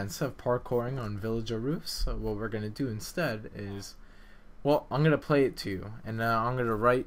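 A man hosting a game development video, talking in a casual, informal and halting way. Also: medium pitch, a little hiss or hum. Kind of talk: casual gameplay commentary, development vlog.

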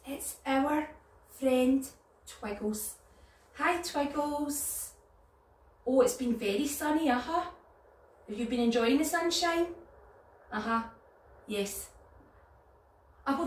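Northern Irish woman, children's storyteller, fairly high animated voice, recorded a little way from the mic. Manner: animated, addressing young children. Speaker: nursery storyteller. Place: living room at home. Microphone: camera a few metres away. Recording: roomy, distant mic, background noise.

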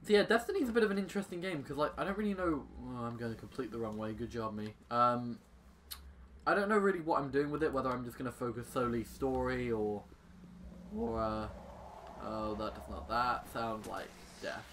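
A man's voice: mid-range voice, fairly quick, a bit bright, a little hiss or hum. A man doing casual gameplay commentary, sarcastic and exclaiming with mild swearing. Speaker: gaming YouTuber.

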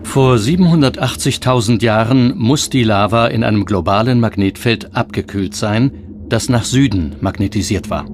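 A man's voice: fairly low pitch, moderate pace, background noise. A man reading scripted documentary narration as the narrator. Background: background music.

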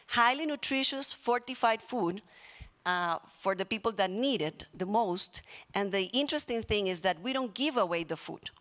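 A woman's voice: medium pitch, a bit bright. Earnest Costa Rican woman speaking on stage. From Costa Rica. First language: Spanish. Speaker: social entrepreneur speaking on stage. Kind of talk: public speech. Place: conference stage in an auditorium. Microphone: handheld microphone.

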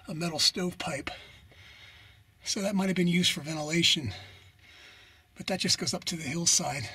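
A man's voice: high-pitched, a bit bright, breathy edge. A man casually narrating as he explores an abandoned mine and desert, thinking aloud with hesitant, musing remarks. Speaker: explorer vlogger.

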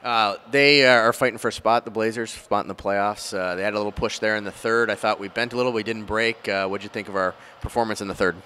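A man's voice: on the low side, fairly even intonation, quick pace, hardly any pauses, bright, forceful tone, smooth. A middle-aged man putting postgame questions as a sports reporter into a handheld microphone. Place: indoors before a sponsor backdrop at an arena.

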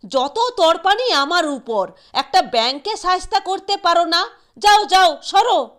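A woman's voice: very high-pitched, expressive, measured pace, a bit bright, voice pushed up, full character voice. A woman voicing a young newlywed wife who is irritable, bossy and exasperated, in a dramatised story reading. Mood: furious.

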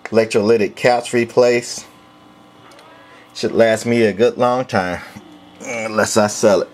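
A man's voice: fairly low pitch, steady tone, measured pace. A man casually demonstrating a vintage radio in his workshop, matter-of-fact and repeating test words into the mic. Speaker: radio collector demonstrating equipment. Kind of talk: casual hands-on demo narration.

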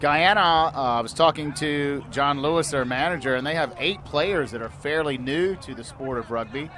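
A man calling a rugby match live, his voice sitting a touch high and jumping up when the action picks up. He explains the rules patiently between plays. Faint outdoor hum sits behind him. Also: normal speed. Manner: explanatory, rises excitedly on big plays. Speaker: play-by-play commentator. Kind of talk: live sports commentary. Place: outdoor sports field.

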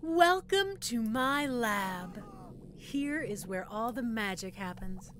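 A woman's voice: fairly high pitch, expressive, slow pace. Woman doing a mad scientist lady for an audio rp, sickly sweet and sadistic, voice swoops around, noisy ambience behind. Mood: playfully menacing. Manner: sweetly condescending, teasing, punctuated by cackling. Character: gleeful, sadistic female mad scientist toying with her captive. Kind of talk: audio roleplay acting addressed to the listener.

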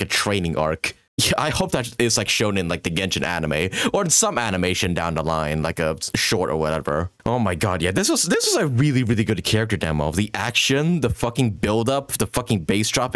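A young man giving casual, excitable reaction commentary as a streamer, slangy and quick to swear. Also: lowish voice, dry recording, clean studio sound. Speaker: streamer reacting to a game trailer.

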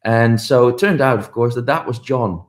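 A man's voice: medium pitch, moderate pace. A middle-aged man giving a commemorative conference talk over a video call, telling stories with many ums and uhs. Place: home office, indoors. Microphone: video call webcam.

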